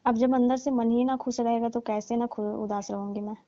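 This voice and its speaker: Woman acting a coy daughter-in-law, high muffled voice. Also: fairly even intonation, moderate pace, warm tone, no room sound, lightly acted. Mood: sad, confiding. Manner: coy, hinting, complaining, teasing. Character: coy, unhappy young married daughter-in-law. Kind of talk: staged comic phone-call skit. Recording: muffled, lo-fi, dry call recording.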